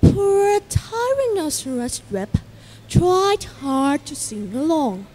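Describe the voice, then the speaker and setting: A young woman narrating a children's story on stage in a dramatic, drawn-out, expressive way. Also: high-pitched, over a noisy crowd.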